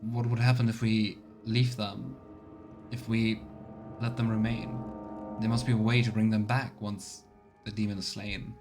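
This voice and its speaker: A man's voice: fairly low pitch, little pitch movement, a little slow, music in the background. A man hosting a live let's play, chatting casually and thinking aloud as he comments. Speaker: streamer, let's play host.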